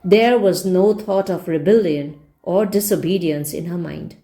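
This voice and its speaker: A woman's voice: lowish voice, unhurried, dark tone. A woman narrating a story like a read-along English teacher, explaining as she reads. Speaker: reader and English teacher explaining word meanings. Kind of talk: audiobook-style narration with word explanations.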